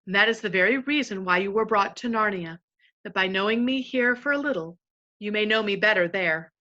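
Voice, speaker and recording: A woman voicing a huge, wise male lion who speaks gravely and tenderly, a little lower than her own voice. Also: brisk, bright, forceful tone, lo-fi sound, lightly acted. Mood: gentle solemn.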